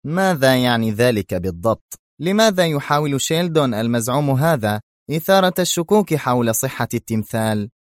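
A man's voice: medium pitch, big pitch swings, a little fast, no room sound, studio quality, voice pushed up, lightly acted. A man voicing a fidgety, nervous associate who speaks in a tense, edgy way.

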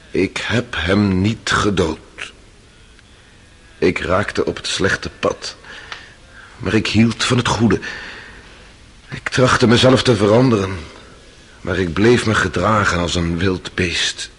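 A man's voice: lowish voice, slow pace, pauses often, a bit bright, breathy edge, exaggerated voice. An actor playing an anguished accused man who solemnly pleads his innocence to a jury in a radio play. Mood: solemn, pleading. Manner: solemn, pleading, emphatic declarations. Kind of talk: Dutch radio play acting.

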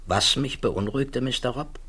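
An actor playing a thoughtful middle-aged scientist under questioning in a radio drama, measured, guarded and dignified. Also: male voice, mid-range voice, sharp tone, slightly rough. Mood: troubled, earnest. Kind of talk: 1960s German radio drama acting.

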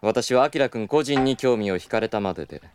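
A man playing a suave, stubborn, flirtatious club boss in an audio drama, with smooth polite speech that slips into teasing Kyoto dialect. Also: mid-range voice, animated, a little fast, darkish tone, slight background noise, lightly acted. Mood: smug, provocative. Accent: Kyoto Japanese.

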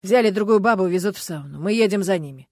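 A woman's voice: mid-range voice, lively intonation, quick pace, dry recording. A woman voicing a tough, furious male police captain who barks short, curt orders, in an audiobook. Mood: curt, tense. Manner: curt, barking, angry. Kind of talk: audiobook character voice.

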